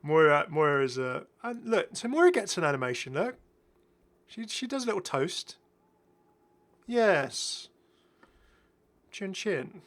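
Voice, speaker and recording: A man's voice: high-pitched, very animated, measured pace, pauses often, fairly bright tone. A British man giving casual, enthusiastic, rambling commentary as the host of a gaming video. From Britain. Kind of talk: casual commentary over game footage.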